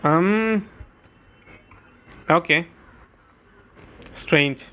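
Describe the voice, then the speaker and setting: A man's voice: fairly high pitch, very slow, sharp tone. Casual male game streamer, chatty commentary. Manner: chatty, thinking aloud. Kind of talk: casual live gameplay commentary.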